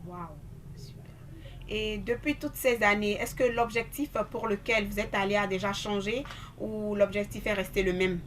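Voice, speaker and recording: A woman's voice: medium pitch, steady tone, normal speed, a little hiss or hum. A woman with a Beninese accent hosting a formal broadcast interview. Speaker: interview host.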